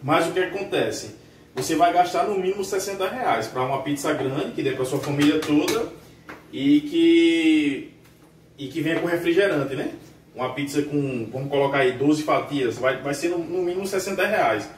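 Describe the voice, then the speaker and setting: A man's voice: on the high side, moderate pace, far from the mic, a little hiss or hum. Laid-back Northeastern Brazilian man in echoey kitchen. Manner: relaxed, slangy, recommending. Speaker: husband cooking. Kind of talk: casual home cooking chat. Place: small tiled home kitchen. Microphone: camera held a few meters away.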